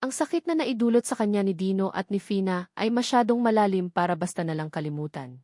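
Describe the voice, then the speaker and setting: A woman's voice: medium pitch, lively intonation, brisk, mellow, no echo, studio quality. A woman with a Filipino accent narrating a dramatic scripted story as an audiobook.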